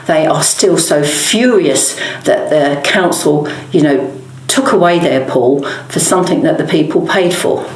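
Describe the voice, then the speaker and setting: An English woman speaking as a local councillor in an interview, plain-spoken and emphatic as she campaigns. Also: low voice. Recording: echoey room.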